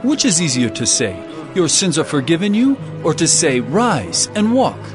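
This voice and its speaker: Casting: male actor as an earnest, authoritative holy teacher, raised pitch, very expressive, emphatic, music beneath. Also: normal speed. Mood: earnest teaching. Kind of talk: dramatized scripture audio acting.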